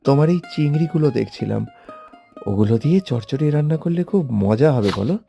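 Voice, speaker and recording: A man plays a meek young nephew in a cartoon. His voice is mellow and swings up and down, timid and deferential, over soft plucked-string music. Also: fairly high pitch, expressive, fast, gravelly. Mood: hesitant. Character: meek, obedient young orphan nephew, a household helper. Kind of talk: Bengali cartoon voice acting.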